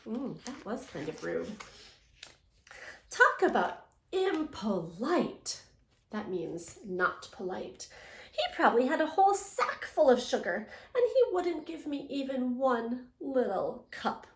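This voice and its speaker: A high-pitched woman reads a storybook aloud as a teacher would for young learners. Her delivery is unhurried and dramatic, with stretched words and questions to listeners. The room adds a noticeable echo. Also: very animated. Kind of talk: expressive storybook read-aloud with asides. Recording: echoey room.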